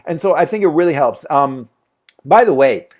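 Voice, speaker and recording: A man's voice: fairly high pitch, animated, moderate pace, darkish tone. A man teaching a casual course on freelance translation. He talks in a loose conversational way, with fillers and repeated phrases. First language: English. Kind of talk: casual spoken tutorial.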